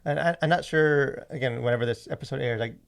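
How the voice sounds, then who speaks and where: A man hosting a casual podcast discussion, talking in a halting, rambling way full of fillers. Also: mid-range voice, gravelly. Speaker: podcast host.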